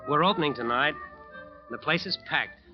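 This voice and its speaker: A man's voice: on the high side, moderate pace, natural voice. An American actor playing a haunted jazz bandleader, telling his story in clipped, hard-boiled radio-drama sentences. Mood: relieved, intent. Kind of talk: 1940s-50s radio acting, first-person narration. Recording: dull old radio recording, music behind.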